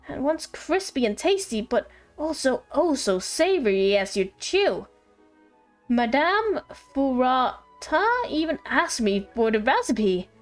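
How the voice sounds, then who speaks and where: Woman reading as a high, eager friendly servant. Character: cheerful, friendly servant excited about a food discovery. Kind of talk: reading a game letter aloud in character.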